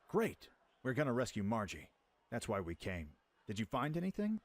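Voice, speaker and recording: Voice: medium pitch, wildly swooping pitch, moderate pace, no room sound. A performer playing a breezy, confident young male prince and adventurer in a radio play. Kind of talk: radio play acting, fan production.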